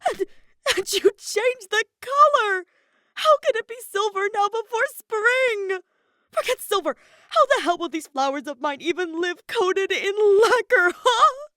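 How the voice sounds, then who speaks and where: A woman's voice: very high-pitched, expressive, unhurried, sharp tone, no room sound, clean studio sound, voice pushed up, exaggerated voice. A woman voicing a volatile, easily heartbroken young male queen in an emotional storybook reading. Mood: bawling, indignant. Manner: emotional, wailing outbursts.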